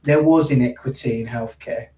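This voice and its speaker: A man from the UK with a mid-pitched voice speaks earnestly and passionately as a panel guest, tripping into quick restarts. He sits away from his mic in a roomy space, so the sound is distant and echoey. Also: dark tone. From United Kingdom. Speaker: panel guest, health service worker. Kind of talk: online panel discussion.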